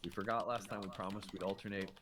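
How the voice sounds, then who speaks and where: Guy with a lowish voice hosting a chatty astrology show, earnest explainer, crowd noise behind him. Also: steady tone, quick pace. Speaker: show host. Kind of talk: conversational astrology show presenting.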